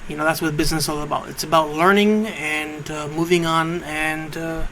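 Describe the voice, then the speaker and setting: Man, late thirties, radio host, forceful bright voice, casual halting monologue, noisy background. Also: a little high, fairly even intonation. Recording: clear background noise.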